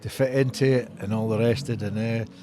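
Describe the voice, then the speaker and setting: A man's voice: mid-range voice, fairly even intonation, brisk, music in the background. Older Scottish Borders man, club historian. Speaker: rugby club historian, interview guest. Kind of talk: conversational interview, recounting club history.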